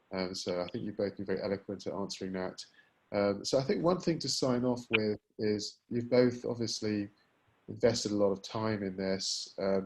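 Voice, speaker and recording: A man's voice: lowish voice, poor audio. A man hosting a business webinar, moderating the discussion in a conversational way. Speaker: webinar host.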